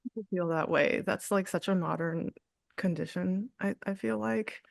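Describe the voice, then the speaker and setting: A woman artist in a candid interview, speaking reflectively with frequent fillers, restarts and soft laughs. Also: lowish voice, normal speed, gravelly, dry recording. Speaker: artist guest.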